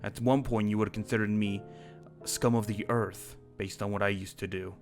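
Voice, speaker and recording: A man's voice: on the low side, steady tone, normal speed, soft background music, close to an everyday voice. A man playing an earnest, principled dragon slayer with a criminal past, in an improvised fantasy game roleplay. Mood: thoughtful, earnest. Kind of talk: improvised Minecraft roleplay acting.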